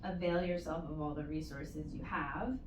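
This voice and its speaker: A woman giving measured, explanatory advice as an interview guest, in a conversational way with stretched emphasis. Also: low voice, steady tone. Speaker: interview guest, university postdoctoral support staff. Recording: roomy echo, distant mic, faint noise.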